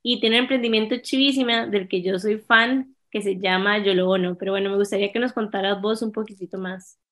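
A Costa Rican woman hosts a casual podcast with a middle-pitched voice. She talks in a gushing, colloquial rush about things she loves. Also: fairly even intonation, a little fast, fairly bright tone. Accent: Costa Rican Spanish. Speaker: podcast host.

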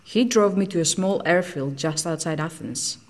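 A woman's voice: on the low side, normal speed, natural voice. An actress playing a frank, socially outcast woman scientist in an audio drama, speaking in a blunt, matter-of-fact way. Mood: dry, frank.

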